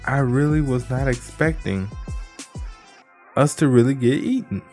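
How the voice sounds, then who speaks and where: A man giving a casual, slangy live reaction, animated and exclamatory, swearing and repeating himself. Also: medium pitch, measured pace, faint music behind. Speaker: YouTube reaction host.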